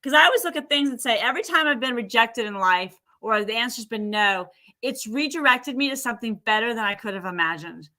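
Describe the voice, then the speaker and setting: A woman guest on a talk show, chatting in an animated way and acting out what people say. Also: a little high, brisk. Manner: animated, acts out quoted speech. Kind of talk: casual chat.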